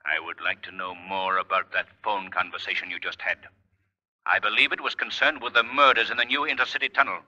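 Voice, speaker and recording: An actor playing a menacing invisible male crimefighter in a 1930s radio drama, commanding, taunting and deliberate, on a muffled old recording. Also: sits low, little pitch movement, sharp tone, full character voice. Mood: menacing, mysterious.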